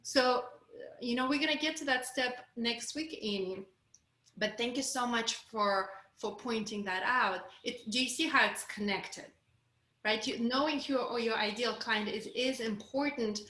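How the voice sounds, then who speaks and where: A woman's voice: on the high side, a little slow, bright, forceful tone, roomy sound. A woman with a Russian accent coaching a group on a video call, candid and encouraging. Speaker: course coach.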